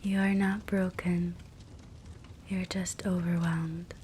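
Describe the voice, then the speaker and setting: A woman guiding a sleep hypnosis meditation in a soft, breathy whisper, gentle and soothing. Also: lowish voice, takes it slowly. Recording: soft steady fireplace crackle noise. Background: fireplace ambience.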